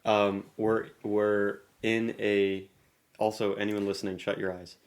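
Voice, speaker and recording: A man's voice: fairly low pitch, a little slow. Playful, rambling man co-hosting a podcast. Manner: playful, rambling, animated banter. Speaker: podcast co-host. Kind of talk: casual comedic chat.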